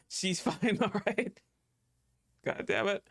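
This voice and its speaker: A woman's voice: medium pitch, extremely slow, fairly bright tone, slightly rough. A woman streamer giving casual, teasing commentary as she plays, chatting to the game and laughing often.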